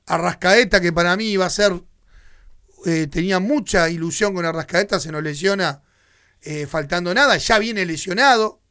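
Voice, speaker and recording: Uruguayan guy on sports radio, high voice, dry close sound, ranting all heated and indignant. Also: a bit bright, smooth, no echo. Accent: Uruguayan Spanish. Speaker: radio sports show host. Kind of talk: opinionated sports commentary. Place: radio studio.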